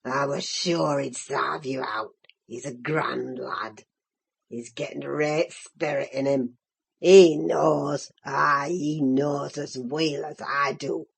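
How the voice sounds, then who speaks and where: A woman voicing a spiteful, gloating old male servant with a broad Yorkshire dialect and a croaking voice. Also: low voice, fairly even intonation, slow pace, voice pushed down, heavily acted. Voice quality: croaking, gravelly.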